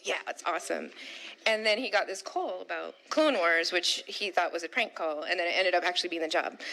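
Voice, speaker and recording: A woman's voice: lowish voice, bright, forceful tone, poor audio. A woman hosting a convention panel, talking enthusiastically into a handheld microphone. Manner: enthusiastic, gushing praise. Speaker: panel host.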